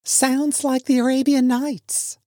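A man's voice: very high-pitched, little pitch movement, takes it slowly, darkish tone, dry recording, clean studio sound, voice pushed up. A man voicing a middle-aged wife and mother in a story, inquisitive and teasing, with a light questioning manner.

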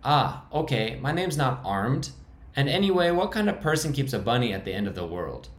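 A man's voice: mid-range voice, big pitch swings, moderate pace, voice pushed up, natural voice. A man voicing a fussy, opinionated male survivor who sounds exasperated. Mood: snobbish. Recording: roomy echo.

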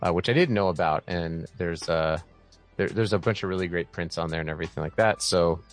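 A man hosting a podcast interview, chatty and quick to laugh, often restarting his phrases. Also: low-pitched, faint music behind. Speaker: podcast host. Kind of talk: casual interview.

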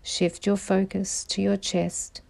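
Slow, mellow woman guiding meditation. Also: medium pitch, gravelly. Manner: calm, soothing, measured instructions with pauses. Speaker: meditation guide, podcast host. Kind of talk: slow guided meditation narration.

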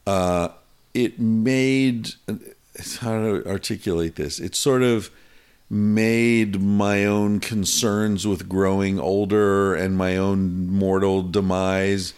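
A man from the United States with a notably low, deep voice. He muses aloud in a rambling, reflective way and swears casually. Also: fairly even intonation, takes it slowly, darkish tone, clear, resonant tone. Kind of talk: casual conversation.

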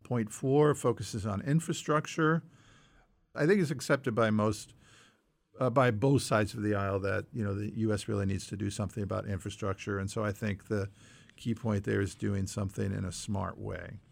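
A man co-hosting a podcast, talking through news points in a measured, explanatory way with frequent qualifiers and a gravelly edge. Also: on the low side, fairly even intonation. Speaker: podcast co-host. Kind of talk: conversational news discussion working through numbered points.

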